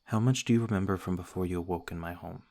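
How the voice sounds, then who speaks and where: Noble vampire lord, played by a male actor, ASMR roleplay. Low voice, little inflection, polite, careful, measured. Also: fairly even intonation, slight background noise. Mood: calm, guarded courtesy. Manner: formal, gentle, measured.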